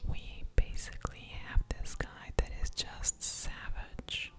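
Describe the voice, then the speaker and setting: A woman whispers throughout in a soft, breath-only voice, narrating her gameplay in ASMR style. She takes it very slowly, and small mouth sounds come through between her words. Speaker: host of an ASMR gaming channel. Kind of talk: whispered ASMR game commentary.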